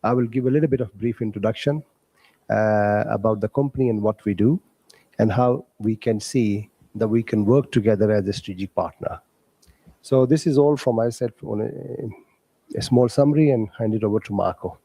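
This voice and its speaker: Mellow-voiced male company director. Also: fairly low pitch, gravelly, slightly rough, dry recording. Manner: polite, brief, slightly halting. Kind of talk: introduction speech.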